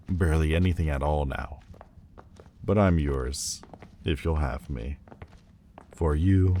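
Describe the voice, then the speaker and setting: A man playing a proud, wounded demon lord turned mortal in a dramatic audio roleplay, speaking haughtily and theatrically with hesitant pauses. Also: extremely low pitch, a little mellow, slight background noise. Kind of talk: dramatic ASMR roleplay monologue.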